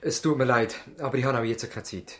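An actor with a Swiss German accent playing a busy, courteous, harried country doctor in a seventies radio play. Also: male voice, medium pitch, fast, slight background noise, lightly acted. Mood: harried, apologetic. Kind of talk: 1970s radio play acting. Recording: roomy studio radio play sound.

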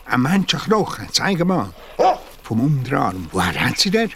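A man's voice: mid-range voice, sing-song, moderate pace, creaky, fairly bright tone, a little hiss or hum. A man playing a down-to-earth young boy detective in a children's radio play, casual, with a Swiss German accent. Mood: alarmed.

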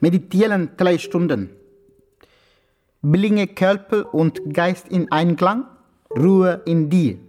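An actor playing a solemn martial arts master who speaks in clipped, broken proverbs in a German radio crime comedy. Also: male voice, high voice, takes it slowly, long pauses, a little mellow. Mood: meditative. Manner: sententious, clipped broken grammar.

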